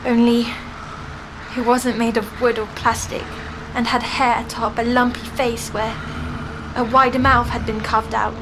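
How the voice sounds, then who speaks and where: A woman's voice: fairly high pitch, little pitch movement, measured pace, background noise. An actress playing a frightened woman patient recounting a nightmare in intense, dramatic horror narration. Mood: uneasy, hushed. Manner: intense, breathless storytelling that builds into panic. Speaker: actor. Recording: loud music under the voice.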